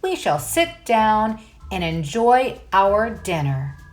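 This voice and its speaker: A woman's voice: mid-range voice, sing-song, takes it slowly, a little mellow, faint music behind. A woman voicing a polite, formal storybook goblin who cares about manners, in emphatic declarations. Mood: prim. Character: polite, proper goblin host, fond of manners.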